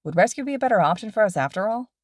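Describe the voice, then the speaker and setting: A woman's voice: mid-range voice, expressive, rapid-fire, dry recording, studio quality, voice pushed up, natural voice. A woman voicing an unsure, earnest teenage boy who asks questions in a slightly sulky way.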